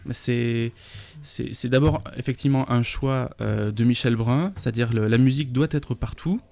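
A man's voice: medium pitch, moderate pace, vocal fry, mellow, no room sound. A man, a festival organizer talking through a radio interview, full of fillers and restarts. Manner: hesitant, many fillers and restarts.

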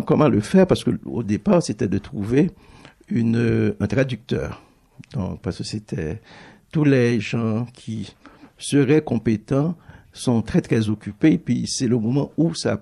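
A man's voice: on the low side, creaky, mellow, slightly rough. A man whose first language is Creole, a book publisher giving a thoughtful public talk, often stopping to restart his phrases.

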